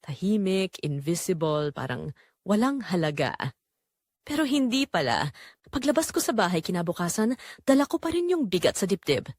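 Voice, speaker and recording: A woman's voice: on the low side, big pitch swings, normal speed, darkish tone, no room sound, studio quality. A woman narrating a scripted first-person romance story for an audio drama.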